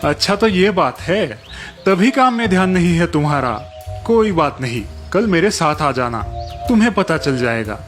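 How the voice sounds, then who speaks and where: A man voicing a kind, honest village father in an animated moral tale, earnest and polite. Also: sits high, normal speed, soft background music. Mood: gently chiding.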